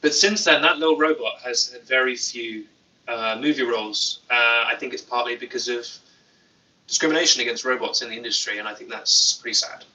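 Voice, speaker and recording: A man hosting a casual livestream, reading viewer comments with a deadpan, playful manner and jokey asides. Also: mid-range voice, brisk, far from the mic, low-quality recording. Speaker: YouTuber livestream host.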